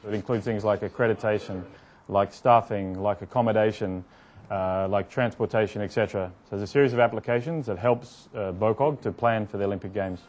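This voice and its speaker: Australian man, lowish halting explanation. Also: darkish tone, faint music behind. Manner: halting, with many fillers and restarts. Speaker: IT project manager. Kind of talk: interview explanation.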